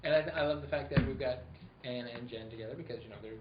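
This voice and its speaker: A man's voice: mid-range voice, fast talker. A middle-aged man hosting a live radio-play show, bantering casually in a jokey, rambling way. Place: indoor room with group. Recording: echoey room, muffled, heavy noise.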